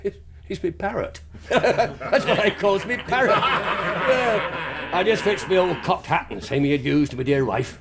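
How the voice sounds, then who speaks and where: A wily one-legged ship's cook in a radio drama. His voice sits fairly high and swoops freely between confiding mutters and hearty bellows, over clearly audible ambient noise. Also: animated, measured pace. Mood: jovial. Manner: folksy old seadog talk, swooping between mutters and bellows.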